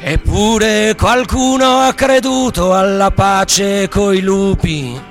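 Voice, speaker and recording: A man performing a protest ballad for radio theatre, half sung and half declaimed, in drawn-out rhythmic phrases. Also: high voice. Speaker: singer and stage performer. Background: music.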